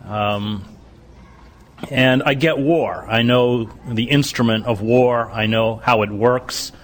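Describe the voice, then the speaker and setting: A middle-aged man lecturing in a measured, halting way, dotting his sentences with "uh" as he searches for the next phrase. His voice sits at an ordinary mid pitch for a man. His delivery is serious and unhurried. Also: a little hiss or hum. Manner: deliberate, halting with many "uh"s. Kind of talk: bookstore lecture.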